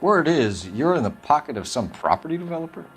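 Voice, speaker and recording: A man's voice: on the high side, big pitch swings, brisk, faint music behind. A man playing a stern police detective in a video game, questioning suspects in a blunt, accusatory manner. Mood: probing. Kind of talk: dramatic video game interrogation acting.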